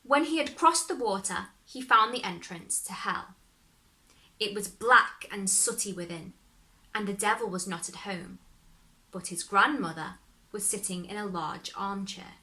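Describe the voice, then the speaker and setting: A woman reading a fairy tale aloud as expressive scripted narration, measured and dramatic. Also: mid-range voice, rough recording. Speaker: story reader, performer.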